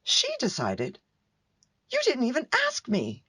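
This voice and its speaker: A woman's voice: on the high side, wildly swooping pitch, unhurried, a bit bright, slightly rough, no echo, exaggerated voice. A woman acting in a radio play as an exasperated, blunt wife and mother with a curt, pointed manner. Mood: indignant.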